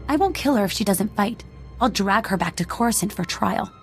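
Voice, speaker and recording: A woman's voice: mid-range voice, expressive, fairly quick, soft background music, natural voice. A woman acting a bitter young female bounty hunter in a video game, wounded, accusing and resolute. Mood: stern resolve.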